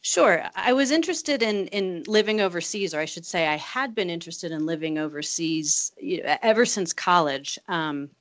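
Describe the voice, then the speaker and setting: Low-voiced woman in her late thirties. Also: expressive, moderate pace, hardly any pauses. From Virginia, United States. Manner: thoughtful, frequent restarts and repeated words. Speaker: guest, government attaché. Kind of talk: conversational interview answers.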